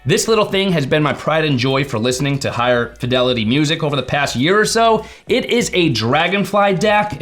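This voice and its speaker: Male tech vlogger, higher voice, very lively intonation, chatty and emphatic explainer delivery. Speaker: tech video presenter. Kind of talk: explainer vlog to camera.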